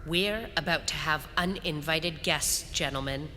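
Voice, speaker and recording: A woman's voice: low-pitched, measured pace, sharp tone, slight background noise. A woman playing a cold, commanding villainous grand marshal in an audio drama, stern, clipped and threatening. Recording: echoing hall with faint music.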